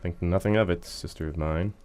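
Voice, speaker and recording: An actor playing a cautious, put-upon son of a rich family in an audio drama, placating and exasperated in manner. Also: male voice, sits low, steady tone, brisk, darkish tone, close to an everyday voice. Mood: polite.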